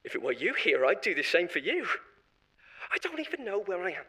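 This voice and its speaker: A man's voice: high-pitched, wildly swooping pitch, a little fast, bright, forceful tone, slightly rough, full character voice. A man playing a panicked blame-shifting corporate marketer. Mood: defensive. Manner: wheedling, defensive, nervous laughter. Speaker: voice actor. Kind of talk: audio drama monologue.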